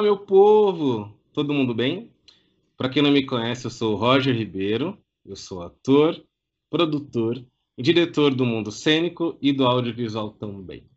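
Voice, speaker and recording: A man's voice: mid-range voice, muffled recording. A man with a Brazilian Portuguese accent hosting a casual interview show in an effusive, informal way. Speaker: show host.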